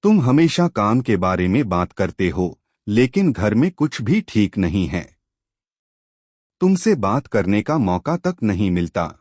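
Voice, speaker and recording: A man's voice: on the low side, very animated, measured pace, a little mellow, no echo, studio quality. A man voicing a discontented, questioning wife in a moral story, in a reproachful manner.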